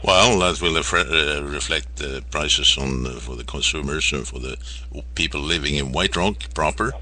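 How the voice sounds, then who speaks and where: Really deep voiced guy interviewing someone, very bright forceful tone, kinda halting and wordy. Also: slight background noise. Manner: halting, wordy, rephrases his questions. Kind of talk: informal interview questioning.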